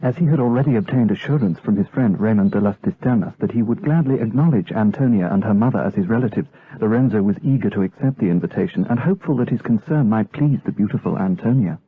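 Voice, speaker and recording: A man delivers scripted literary narration for an audio drama. His voice is husky. The recording is dull and narrow, like radio. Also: on the low side, lively intonation, quick pace, few pauses, no echo.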